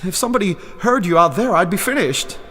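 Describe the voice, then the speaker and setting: A timid old wizard, who is really a fraud from the circus. His slightly high voice swings widely as he stammers and pleads. It sits in a long reverb with music behind, in the style of a fantasy audio drama. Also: lively intonation, fast talker, bright, forceful tone, exaggerated voice. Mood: anxious apologetic. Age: older. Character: timid old humbug wizard, former circus balloonist from America. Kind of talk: full-cast fantasy audio drama acting.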